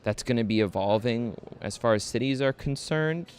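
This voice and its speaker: A man's voice: mid-range voice, normal speed, a little mellow, a little hiss or hum. A man interviewing film guests for a campus radio station, asking long questions hesitantly with fillers and restarts.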